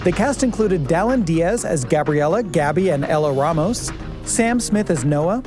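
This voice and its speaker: Higher-voiced male podcast co-host over music. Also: lively intonation, normal speed. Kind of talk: conversational scripted hosting. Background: music bed.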